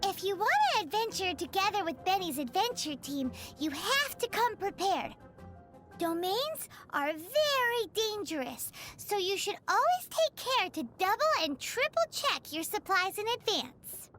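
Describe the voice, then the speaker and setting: Tiny game fairy sidekick, extremely high childlike voice, very animated swoops, chirpy, music behind. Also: female voice, unhurried, exaggerated voice. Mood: earnest, eager. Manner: chirpy, refers to herself in third person. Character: tiny excitable floating fairy companion, childlike, female. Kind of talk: video game character voice lines.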